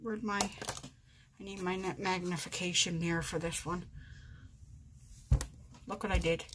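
Give me a chatty woman in her forties talking to a camera in her bedroom, with a steady noise under her voice. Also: on the low side, unhurried. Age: 40s. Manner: chatty, self-mocking, narrates each step. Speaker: vlogger. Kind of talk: casual makeup chat to camera. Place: bedroom at home.

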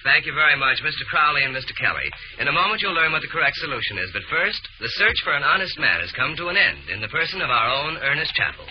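A man's voice: on the high side, very animated, quick pace, few pauses, a little hiss or hum. A man playing a shrewd, composed amateur detective, explaining articulately in 1940s radio acting style. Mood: announcing. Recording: muffled vintage radio broadcast, music behind.

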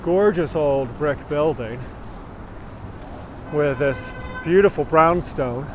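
A man's voice: on the high side, slow. A man in his thirties chatting his way through a walking tour, greeting viewers by name as he walks outdoors and films on a phone held at arm's length. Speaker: tour guide. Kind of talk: live-streamed walking tour. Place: outdoors on a city street. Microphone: phone held at arm's length while walking. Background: street traffic.

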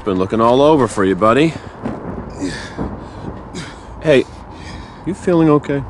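An actor playing a sardonic, wisecracking ghost hunter in a video game cutscene. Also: male voice, mid-range voice, animated, a little slow, background noise, natural voice. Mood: wry. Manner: wry, sardonic. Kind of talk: cinematic game cutscene acting. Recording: loud sound effects and ambience behind.

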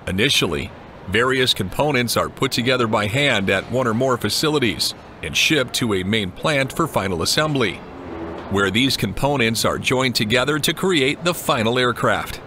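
A man reading a scripted documentary narration in an even, measured way. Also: medium pitch, a little hiss or hum. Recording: voice-over with clear music behind.

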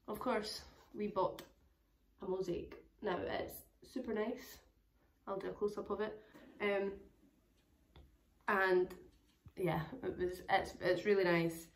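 A young woman with a hoarse, crackly voice, chatting casually to camera about her travels, rambling with lots of fillers. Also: medium pitch, fairly even intonation, takes it slowly, pauses often. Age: young adult. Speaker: travel vlogger. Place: small room indoors at home.

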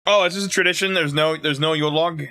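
A man streaming live, chatting casually with viewers, animated, laughing often and stumbling as he reads things aloud. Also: on the high side, fast, no echo.

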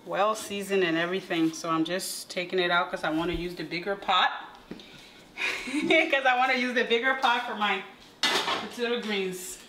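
A woman's voice: medium pitch, normal speed. Woman talking over a sizzling pot. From Liberia. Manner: relaxed, explains each step, laughs lightly. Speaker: cooking vlogger. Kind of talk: casual cooking demonstration. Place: home kitchen. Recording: clear kitchen cooking noise. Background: frying and sizzling food, stirring.

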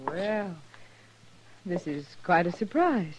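A woman's voice: fairly low pitch, very animated, slow pace, slight background noise. An actress playing a defensive saloon woman in a 1950s radio drama, evasive and bristling. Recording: muffled old radio recording.

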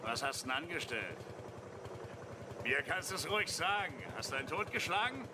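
An actor playing a chatty, coarse provincial farmer in a radio play, talking in a folksy, blunt, rambling way. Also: male voice, a little high, big pitch swings, moderate pace. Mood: prying, confiding. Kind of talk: German radio play acting. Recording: clear vehicle noise behind.

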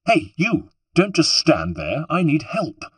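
A man's voice: mid-range voice, big pitch swings, normal speed, breathy edge, dry recording. A man voicing a spirited, imperious younger noblewoman in a storybook, scolding and commanding. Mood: demanding.

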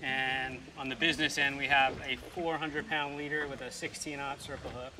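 A man's voice: medium pitch, flat delivery, moderate pace, over loud music, low-quality recording. A man guiding a fishing trip on an open boat, explaining gear in a matter-of-fact, instructive way. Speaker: fishing guide. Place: open boat at sea, outdoors.

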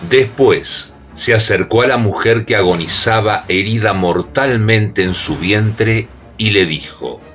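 A man narrating a radio drama in a measured, dramatic storytelling manner. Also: fairly low pitch, fairly bright tone.

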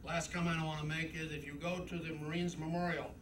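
A man's voice: a little high, flat delivery, fast talker. An older man giving a rambling, emphatic war memoir talk at a club meeting, with frequent fillers. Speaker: guest speaker. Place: club meeting room, indoors. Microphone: podium mic, camera from across room. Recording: roomy, distant camcorder audio with noise.

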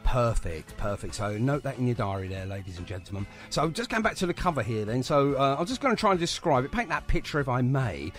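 Bloke hosting a chatty radio interview, keen, really lively up and down voice, bit of music faintly there. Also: male voice, medium pitch, quick pace, few pauses. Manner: enthusiastic, chatty.

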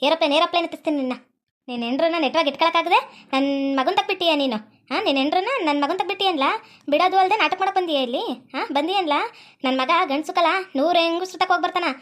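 Brief: a woman as a rapid-fire, very high-pitched older mother-in-law, scolding. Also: a bit bright. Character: shrill scolding old village mother-in-law. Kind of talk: comedy skit acting.

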